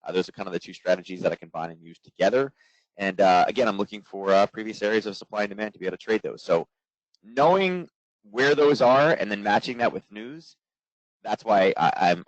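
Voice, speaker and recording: Hoarse, fast-talking male trading instructor. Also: on the low side, dry recording. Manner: quick, filler-heavy, conversational. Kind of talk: live webinar presentation. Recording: dull, dry lo-fi webinar audio.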